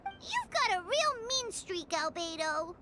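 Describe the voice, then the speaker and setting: A tiny childlike fairy from a video game, with an extremely high, squeaky voice. She is excitable, easily scared and dramatic, swinging wildly in pitch. There is clear background noise behind her. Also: slow pace, heavily acted. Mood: teasing. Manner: dramatic, refers to self in third person. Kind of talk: video game character acting.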